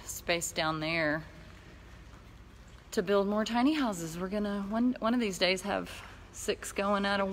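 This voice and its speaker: A woman's voice: medium pitch, a little slow, a little hiss or hum. A middle-aged woman giving a chatty, laughing walking tour of tiny homes she builds. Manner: chatty, laughs easily, guiding viewers around. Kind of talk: casual walking tour chat. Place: outdoor building lot and inside tiny houses.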